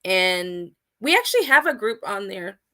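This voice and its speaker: Woman guest, upbeat and emphatic, bright clear voice, loud-soft swings. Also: medium pitch, big pitch swings, moderate pace. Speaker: guest, outdoor community organizer. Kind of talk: casual interview chat.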